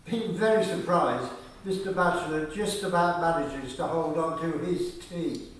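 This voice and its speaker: A man reads radio play narration at a slow, measured pace. His voice is slightly high for a man. It is recorded in a very echoey space with faint background noise. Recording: very echoey, distant, faintly noisy room.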